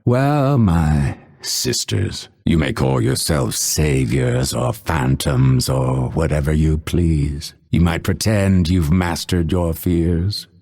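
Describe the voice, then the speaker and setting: A brooding assassin from a fantasy video game, speaks in a low, husky voice. His delivery is slow and theatrical, full of cold menace and vengeful devotion. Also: a little mellow, full character voice. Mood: bitter defiance. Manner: measured, theatrical, ominous delivery. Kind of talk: dramatic game character voice lines.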